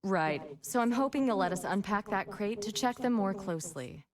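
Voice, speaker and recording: A woman's voice: medium pitch, lively intonation, moderate pace, lightly acted. Woman voicing a persistent FBI agent, distant. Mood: probing. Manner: polite, probing questions. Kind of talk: video game voice acting.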